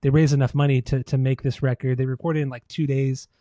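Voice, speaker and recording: A man with an American accent talks casually as a podcast co-host. His voice is mid-pitched and soft-edged, with a dark, relaxed tone. He speaks in slangy, informal language with some swearing, in a dry recording with no room sound. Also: quick pace, dry recording. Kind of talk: casual music discussion.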